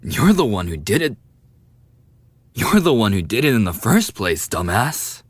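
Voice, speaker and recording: A man's voice: on the low side, big pitch swings, measured pace, breathy edge, no echo, full character voice. A man playing a brash, defensive young male bully with a desperate side, swearing and taunting in an audio roleplay. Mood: scornful. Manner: foul-mouthed, taunting, defensive talk to the listener. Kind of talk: boyfriend ASMR audio roleplay acting.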